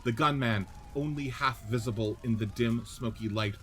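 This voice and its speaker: A man's voice: mid-range voice, normal speed. A man narrating screenplay scene directions as a podcast host and reader. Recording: faint background noise.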